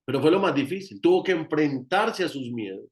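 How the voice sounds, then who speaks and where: A man's voice: fairly high pitch, lively intonation, fairly quick. A man with a Colombian accent giving an informal, emphatic teaching talk as a guest therapist, often repeating phrases for emphasis.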